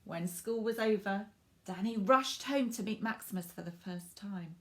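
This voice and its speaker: A woman reading a picture book aloud to children in an expressive way, stressing words for drama and speaking directly to young listeners. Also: medium pitch. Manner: animated, dramatic emphasis with drawn-out words and hushed asides.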